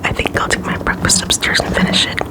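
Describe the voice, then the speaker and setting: A whispering reader voicing a sly boy who fakes being sick, in a soft unvoiced whisper. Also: quick pace, natural voice. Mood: sneaky. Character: boy faking illness, sly. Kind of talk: whispered storybook dialogue.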